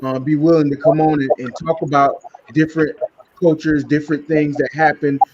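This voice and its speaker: A man's voice: fairly high pitch, little pitch movement, fairly quick, noisy background. A man hosting a community podcast and wrapping up a panel talk, with many fillers and repeated words. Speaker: podcast host. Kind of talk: closing a panel discussion. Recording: dull lo-fi sound.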